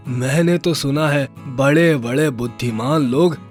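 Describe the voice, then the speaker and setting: A man's voice: mid-range voice, unhurried, faint music behind, lightly acted. A man voicing a jolly, simple-hearted, chuckling male demon in a children's storybook tale. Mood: amused. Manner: sweet-tongued, given to chuckling.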